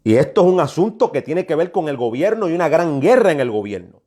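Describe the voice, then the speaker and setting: Higher-voiced man preaching with emphatic repetition. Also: very animated, quick pace, mellow, husky. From New York, United States. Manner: emphatic, repeats phrases, admonishing. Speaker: preacher delivering prophecy. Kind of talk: prophetic sermon, exhortation.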